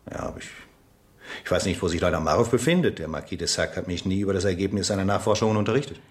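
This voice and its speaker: Man playing formal captain, very low voice, courteous, reserved, halting, radio drama dialogue. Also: natural voice. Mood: reserved, uneasy. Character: formal French captain, courteous, hesitant interrogator. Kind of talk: radio play acting.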